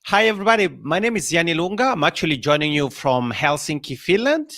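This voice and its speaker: A man with a Swiss Italian accent, a podcasting consultant who explains his ideas in a friendly, self-deprecating way. His voice sits a little high for a man. The sound is dry and close, with no room around it. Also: sing-song, quick pace, a bit bright, no room sound. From Italian-speaking Switzerland. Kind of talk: live stream panel chat.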